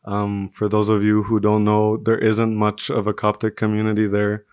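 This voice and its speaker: A man in his twenties from Singapore talks casually as an interview guest. His voice is smooth and fairly low, with little rise and fall in pitch. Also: monotone, moderate pace, a little mellow, no echo, clean studio sound. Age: 20s. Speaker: podcast guest, medical student.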